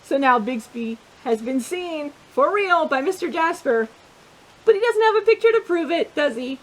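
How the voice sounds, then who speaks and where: High-pitched woman reading a children's story. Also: normal speed, poor audio. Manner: expressive, asks listeners rhetorical questions. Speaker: storytime host reading a picture book to children. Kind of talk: read-aloud children's storytelling with chatty asides.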